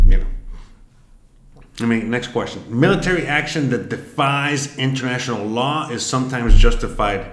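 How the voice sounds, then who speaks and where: Rambling man in an echoey room. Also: lowish voice. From Miami, Florida, US. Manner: rambling, many fillers and restarts. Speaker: vlogger. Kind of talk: casual commentary while taking an online quiz. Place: home room, indoors. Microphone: webcam at desk.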